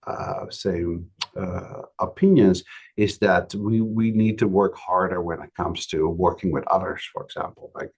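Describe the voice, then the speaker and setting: A man with a cold that leaves his voice raspy, speaking hesitantly with many fillers and restarts on a webinar about his community's experience. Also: deep voice, fairly even intonation, a little slow. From Canada. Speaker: municipal economic development practitioner.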